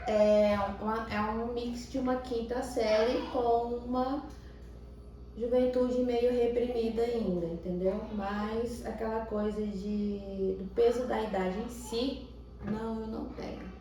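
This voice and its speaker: A woman's voice: fairly high pitch, little pitch movement, measured pace, mellow. A middle-aged Brazilian woman co-hosting a podcast in casual, playfully teasing chat. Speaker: podcast co-host. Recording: echoey room, distant microphone.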